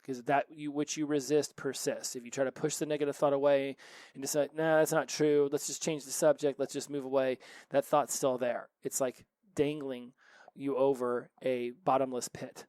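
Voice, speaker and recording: A man's voice: medium pitch, level tone, moderate pace, a little mellow, no room sound. A man in his forties hosting a recovery podcast, giving an earnest solo motivational talk full of rhetorical questions. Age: 40s.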